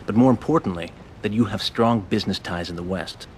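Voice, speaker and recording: Man acting a low-voiced calculating spy. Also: fairly quick, lightly acted. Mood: confident. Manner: matter-of-fact, confident. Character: smooth-talking calculating intelligence agent laying out scheme. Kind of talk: video game cutscene acting. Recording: faint rumbling background noise.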